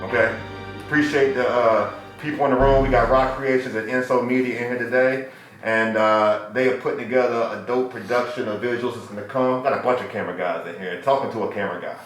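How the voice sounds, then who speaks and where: A man's voice: mid-range voice, steady tone, a little fast, soft background music. Laid-back man hosting in an echoey room. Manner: loose, joking, slangy banter. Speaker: podcast host. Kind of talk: casual interview chat.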